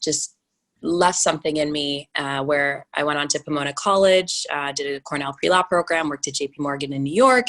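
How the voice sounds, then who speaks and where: A young American woman, a venture investor, talking fast and animatedly in an unscripted interview, with frequent restarts and fillers. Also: low voice, fairly bright tone. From United States. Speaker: venture capital investor, guest.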